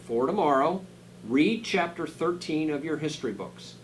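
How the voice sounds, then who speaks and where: A man's voice: fairly high pitch, expressive, moderate pace, a little hiss or hum, natural voice, low-quality recording. A man voicing a strict, bossy woman schoolteacher from a storybook, barking commands. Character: stern, fearless woman schoolteacher, cruel and bossy.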